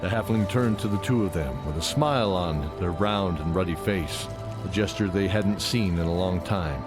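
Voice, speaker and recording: A man narrates a fantasy story in a scripted, dramatic style. His voice is very low, and music plays clearly behind him. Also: normal speed.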